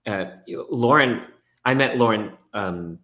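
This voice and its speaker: A man, a stage actor and guest, talking through his work in a casual, animated way. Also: fairly low pitch, slow pace. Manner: animated storytelling, reenacts his own thoughts. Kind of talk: casual conversation. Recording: echoey room.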